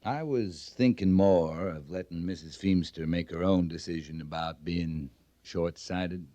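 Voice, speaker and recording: Wry, low-voiced cavalry captain. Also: male voice, measured pace, dark tone, no echo. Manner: dry, understated, polite. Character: wry, courteous, persuasive frontier cavalry captain. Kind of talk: old-time radio Western drama acting.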